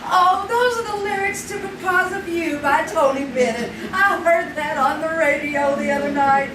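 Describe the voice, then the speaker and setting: A woman's voice: high voice, moderate pace, background noise, natural voice. A woman playing a bubbly, chatty mother. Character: cheerful mother, wife to Mike. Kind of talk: 1950s-style radio drama acted live on stage. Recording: echoey theater sound from a distant mic.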